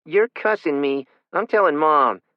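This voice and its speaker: A man voicing a stubborn little girl who whines and shouts back. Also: fairly high pitch, animated, unhurried, no room sound, muffled recording, pitched above the performer's own voice. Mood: defiant. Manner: whiny, shouting. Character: stubborn defiant little girl, crying for her dad.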